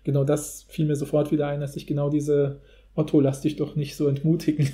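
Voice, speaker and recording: A man's voice: on the high side, monotone, a little fast, mellow. A man hosting a podcast, talking in a casual, rambling and informal way. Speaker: podcast host. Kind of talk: casual discussion.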